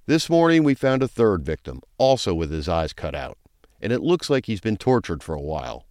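A man's voice: low-pitched, sing-song, moderate pace, darkish tone, dry recording, studio quality. A man playing a brusque, foul-mouthed, sarcastic police chief in a crime audio drama. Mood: businesslike. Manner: brusque, commanding, crude briefing delivery.

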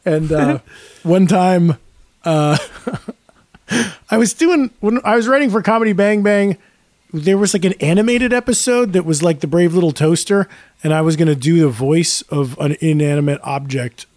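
A man with raspy moments in his voice, chatting casually about music and telling stories in a rambling, jokey way as a podcast host. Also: on the high side, normal speed, a little mellow, no room sound, clean studio sound. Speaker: podcast host and band singer. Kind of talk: casual music chat with anecdotes.

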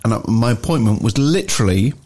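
Man, deadpan, lively pitch, audible background noise, podcast. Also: fast. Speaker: podcast co-host. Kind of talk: casual storytelling chat.

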